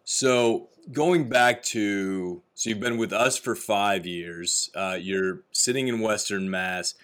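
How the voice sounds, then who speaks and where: A man's voice: lowish voice, takes it slowly, a bit bright. A man hosting an interview podcast, asking questions in an upbeat, encouraging way. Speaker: podcast host.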